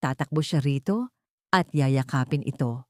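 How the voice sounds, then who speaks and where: A woman's voice: very deep voice, normal speed, no echo, clean studio sound. A woman narrating a scripted romantic drama as a storyteller, in a dramatic style.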